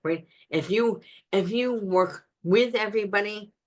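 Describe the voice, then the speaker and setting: A woman's voice: mid-range voice, a little slow. A woman speaker answering audience questions in a webinar over a video call, direct, with frequent ums and restarts.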